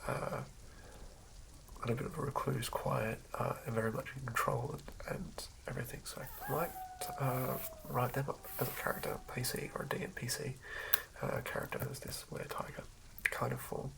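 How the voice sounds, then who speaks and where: A man reading in a hushed, breathy ASMR whisper with a halting, measured delivery. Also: mid-range voice, little pitch movement, poor audio. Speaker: host of an ASMR channel. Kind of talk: ASMR reading of game rulebook.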